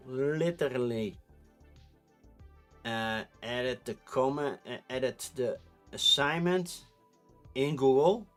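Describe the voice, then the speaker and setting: A man in his thirties with a Dutch accent, rambling casually on a live stream. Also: medium pitch. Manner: rambling, chatty, with frequent restarts and laughs.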